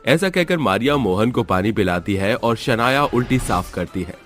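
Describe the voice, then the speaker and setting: A man's voice: fairly low pitch, expressive, normal speed, a bit bright. A man reading a scripted story as its narrator. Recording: music behind the voice. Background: background music.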